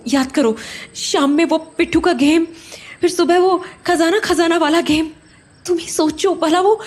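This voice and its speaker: A woman playing a distraught but determined mother in a horror audio drama, earnest, emotional and pleading. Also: extremely high pitch. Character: distraught determined mother haunted by her lost brother.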